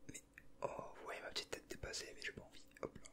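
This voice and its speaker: A man giving casual, teasing ASMR commentary in a breathy whisper, close to the microphone. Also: fast talker, pushed and bright. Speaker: ASMR video creator.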